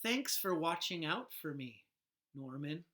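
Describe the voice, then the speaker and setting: A woman voicing an earnest, excitable young boy who owns a pet fish, in an expressive picture-book reading. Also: deep voice, takes it slowly, exaggerated voice. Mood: grateful.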